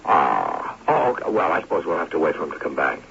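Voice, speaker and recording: An actor playing an eager, proud, smooth-talking advertising man in radio drama, defensive and persuasive. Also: low-pitched, wildly swooping pitch, fast, a bit bright, exaggerated voice. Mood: glib. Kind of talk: 1970s radio drama acting. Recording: noisy, muffled old radio broadcast recording.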